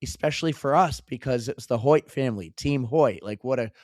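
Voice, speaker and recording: A hoarse man who has lost his voice, co-hosting a podcast in casual, excitable chat with stumbling restarts. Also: medium pitch, brisk, no echo, clean studio sound. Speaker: podcast co-host.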